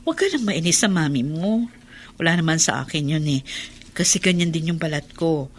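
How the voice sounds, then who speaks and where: A Filipino actress playing a gentle, forbearing middle-aged mother in a radio drama, soothing and pleading as she keeps the peace. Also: deep voice, animated, brisk. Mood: kindly, coaxing. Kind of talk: Tagalog radio drama acting. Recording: clearly noisy background ambience.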